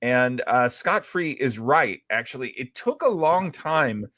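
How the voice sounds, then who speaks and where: A man's voice: mid-range voice, animated, sharp tone, dry recording. Dry-sounding man explaining conversationally. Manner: halting, thoughtful explaining. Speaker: host of a retro radio show.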